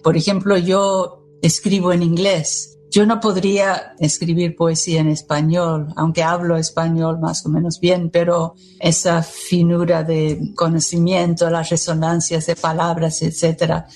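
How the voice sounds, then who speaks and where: A woman who grew up in the United States, speaking Spanish with an American English accent as a second language, answering interview questions in a measured, thoughtful way with pauses between phrases. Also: lowish voice, level tone, darkish tone, soft background music. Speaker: poet, interviewee.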